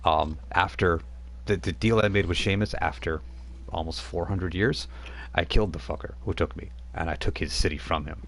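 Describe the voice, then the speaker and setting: A man's voice: low voice, moderate pace. A man voicing an ancient, centuries-old woman vampire in a tabletop game, speaking with brooding contempt.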